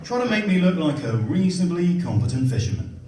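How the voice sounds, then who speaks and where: A young man from Somerset with a posh English accent, doing a dryly self-mocking stand-up set at a comedy venue. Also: high-pitched. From Somerset, England. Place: comedy venue with live audience. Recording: roomy live stage sound from a distance, loud crowd laughter.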